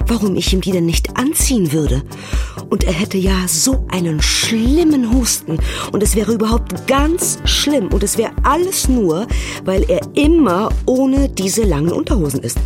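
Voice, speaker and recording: A woman's voice: medium pitch, very animated, unhurried, music in the background. A woman playing an exasperated, assertive mother telling family stories in a conversational radio play monologue. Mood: indignant. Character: exasperated, assertive German mother recounting family quarrels in Beijing.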